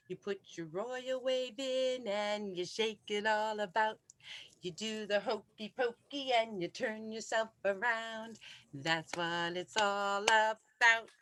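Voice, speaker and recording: Bright-voiced woman leading a slow preschool sing-along. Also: fairly high pitch. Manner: exuberant, addresses young children directly. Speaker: children's library program co-host.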